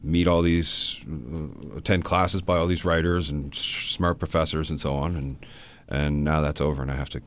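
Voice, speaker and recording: A man being interviewed about his book in a radio studio, talking in a relaxed, hesitant way with many fillers. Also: extremely low pitch, moderate pace. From Canada. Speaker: author guest.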